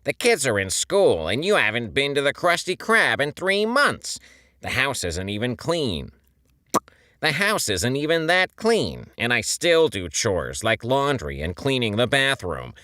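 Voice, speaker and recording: A man's voice: mid-range voice, big pitch swings, a little slow, bright, forceful tone, no room sound, clean studio sound, voice pushed up. A man voicing an irritable, exasperated adult male squid who scolds with indignation in a storybook reading.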